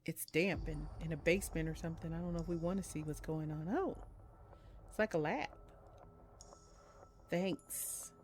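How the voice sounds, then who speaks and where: A woman's voice: sits low, moderate pace, long pauses, slight background noise. A woman giving casual let's-play commentary and reading game text aloud in her own voice, with music behind her. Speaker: game streamer reading visual novel dialogue aloud.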